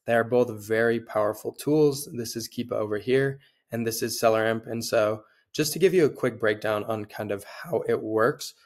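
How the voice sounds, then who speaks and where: A young man with a cold and a slightly hoarse voice, casually walking viewers through a screen-share tutorial, with frequent fillers. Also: medium pitch, moderate pace, hardly any pauses. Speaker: online seller and tutorial host.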